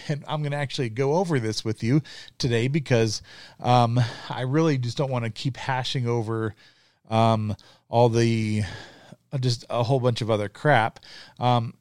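A man's voice: medium pitch, a little mellow, no echo, clean studio sound. A middle-aged man hosting his own podcast, talking earnestly in a casual monologue with frequent ums and restarts. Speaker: podcast host.

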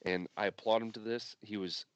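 A man's voice: on the low side, steady tone, brisk, no room sound. A man co-producing a podcast, still getting over an illness, making his points emphatically and repeating them. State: recovering from illness, deep voice. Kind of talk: casual political chat.

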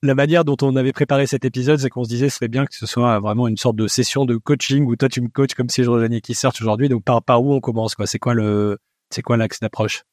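A man's voice: mid-range voice, fairly even intonation, quick pace, darkish tone, no room sound, studio quality. A man hosting a French podcast, introducing his guest and asking friendly questions with a few hesitations. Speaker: podcast host, interviewer. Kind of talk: podcast interview.

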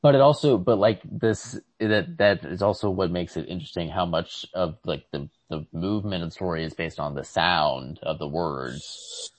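A man co-hosting a podcast, casually discussing literature in a halting manner as he searches for words. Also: low voice, dry recording. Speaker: podcast co-host.

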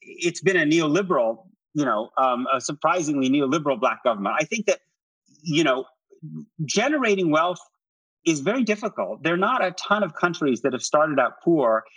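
A man speaking as an interview guest, giving conversational political analysis in an earnest, halting way with repeated words. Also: a little high, very animated, a bit bright, slightly rough, no room sound, low-quality recording. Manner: earnest, halting with repeated words, frequent "you know" and "right?".

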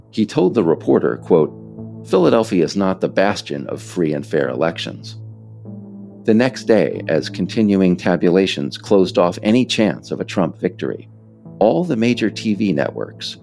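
An American man reading scripted narration as the author of a book, in a measured, emphatic storytelling manner. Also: low voice, gravelly, a little mellow.

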